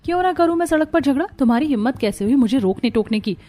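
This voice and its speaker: A woman playing a scornful, defiant young cartoon wife, haughty and sharp-tongued. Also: high-pitched, animated, quick pace. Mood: angry, defiant.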